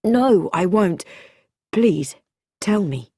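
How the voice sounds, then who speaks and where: A woman's voice: medium pitch, expressive, extremely slow, mellow, husky, no echo, clean studio sound, heavily acted. A woman voicing an earnest, protective young male amateur sleuth in a mystery story, with a direct manner. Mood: reassuring. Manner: direct, reassuring, questioning.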